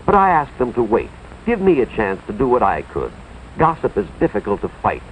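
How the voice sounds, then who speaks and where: An American man playing an earnest, authoritative school official, narrating a cautionary tale in a deliberate, moralizing 1950s educational film style, with dramatic emphasis. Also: mid-range voice, huge pitch swings, slight background noise. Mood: earnest, firm. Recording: tinny, band-limited 1950s film soundtrack, music behind.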